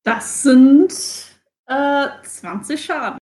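A woman's voice: high-pitched, extremely slow, darkish tone, echoey room. A woman playing a tabletop game, chatting casually as she announces her moves.

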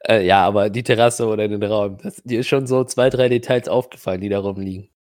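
Low-voiced man as game master. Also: steady tone, fairly quick, darkish tone, no echo, clean studio sound. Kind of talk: improvised tabletop game narration in German.

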